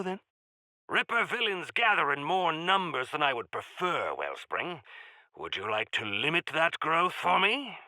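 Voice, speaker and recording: An actor playing a pompous, grandiloquent heroic quest-giver in a video game, with florid, theatrical phrasing. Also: male voice, mid-range voice, bright, forceful tone, no room sound, heavily acted. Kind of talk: video game character voice lines.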